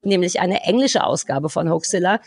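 A woman co-hosting a podcast, talking casually in an animated, blunt ranting manner with some swearing. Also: fairly low pitch, moderate pace, vocal fry, breathy edge, no echo. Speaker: podcast co-host. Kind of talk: casual review chat.

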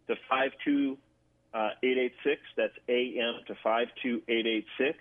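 A man giving halting, measured explanations as a guest in a radio interview. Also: medium pitch, dry recording. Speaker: interview guest, government affairs director. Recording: thin phone-like line.

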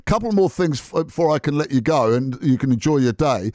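A man's voice: mid-range voice, animated, fairly quick, dark tone, no room sound, studio quality. A man hosting a radio interview, asking long, rambling questions. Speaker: radio host.

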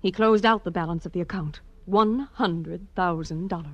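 A woman's voice: fairly low pitch, normal speed, fairly bright tone, highs cut off. A woman playing a refined, troubled young wife with a polite, hesitant manner in a 1940s radio drama. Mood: troubled, earnest. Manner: polite, hesitant, earnest, genteel. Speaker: client in a radio detective drama.

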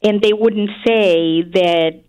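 A woman from Chile with a Chilean Spanish accent, a radio interview guest who pauses and searches for her words, often with um and uh. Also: medium pitch, darkish tone, clear, resonant tone. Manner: hesitant, searching for words, frequent um and uh.

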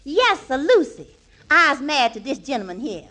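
A nervous young woman pretending to be someone's wife. Her voice is high and bright, and she swings up and down as she fumbles her answers. It is an old radio broadcast recording. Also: big pitch swings, moderate pace, full character voice. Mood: timid. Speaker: actress. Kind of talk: 1940s radio comedy acting.

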